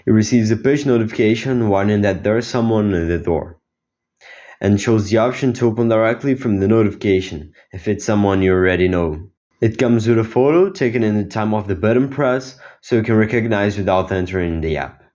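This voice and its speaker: Low-voiced teenage guy presenting. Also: fairly quick, a little mellow. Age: teens. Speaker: student inventor presenting own project. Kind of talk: explanatory project demo.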